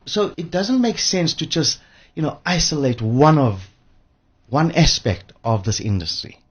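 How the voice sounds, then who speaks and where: A man speaking as an expert radio guest, emphatic and repeating phrases for effect, with a husky voice. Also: a little high, expressive, measured pace. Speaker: interview guest, pensions industry expert. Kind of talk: radio interview. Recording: dull lo-fi radio audio.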